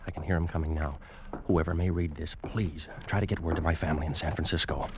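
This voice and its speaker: An actor playing a frightened, weakened ship's officer, grave and urgent, in an old radio suspense drama. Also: male voice, very deep voice, fairly even intonation, fast, background noise. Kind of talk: 1940s radio drama acting and narration.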